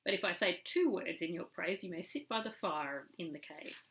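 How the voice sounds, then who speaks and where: A woman plays a firm, shrewd cave woman from a storybook. Her voice is bright and forward, and she speaks briskly and commandingly, with a teasing edge. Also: on the low side, slight background noise. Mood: confident bargaining.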